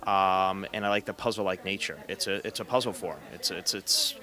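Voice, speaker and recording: A man giving informal, halting interview remarks as a book fan. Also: deep voice, sharp tone.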